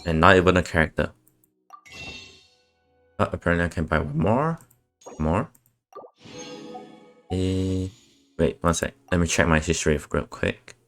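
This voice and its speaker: Deep voiced guy streaming a game, mumbling little casual reactions, some swearing, faint music behind. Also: measured pace, long pauses, fairly bright tone. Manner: muttering brief reactions, mild swearing. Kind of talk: casual live stream commentary.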